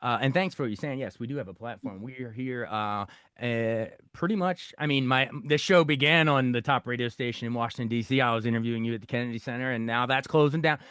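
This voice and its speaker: A middle-aged American man hosts a casual interview in a mid-pitched voice. He is animated and halting, quick to agree. The sound is very dry and close. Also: clear, resonant tone, dry recording. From rural Maryland, United States. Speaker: podcast host.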